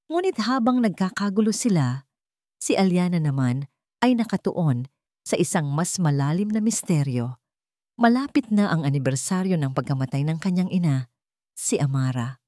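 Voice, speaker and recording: A woman's voice: on the low side, animated, normal speed, dark tone, dry recording, studio quality. A woman narrating a scripted dramatic story as an audio storyteller.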